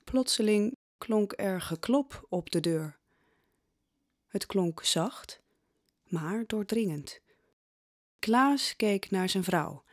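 A woman's voice: mid-range voice, expressive, extremely slow, long pauses, no room sound, clean studio sound. A woman narrating a scripted audio drama as a dramatic storyteller.

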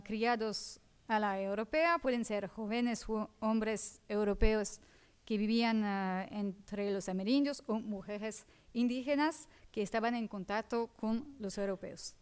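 A woman with a Portuguese accent reading a conference paper in a seminar room, careful and halting, often correcting herself. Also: a little high, a little mellow, smooth. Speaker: academic speaker.

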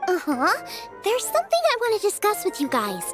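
A bright-spirited teenage girl chef from an anime game. Her girlish voice sits extremely high and bounces with animated, eager swings. Music plays behind her. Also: brisk, heavily acted. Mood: excited. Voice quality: youthful, girlish. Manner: perky, enthusiastic. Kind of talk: anime video game voice acting.

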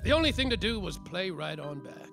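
Man acting high-voiced, creaky hard-boiled private detective. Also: big pitch swings, brisk, over music, exaggerated voice. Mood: wry, dramatic. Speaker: detective and narrator. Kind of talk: slapstick noir radio mystery acting.

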